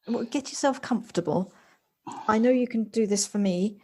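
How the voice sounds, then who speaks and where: A woman in an audio play acting an earnest, reflective woman with a halting, tentative delivery. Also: on the high side, dark tone, natural voice. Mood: anxious, apologetic. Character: earnest, hesitant woman coming home softened and reflective. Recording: home recording on personal device, music behind.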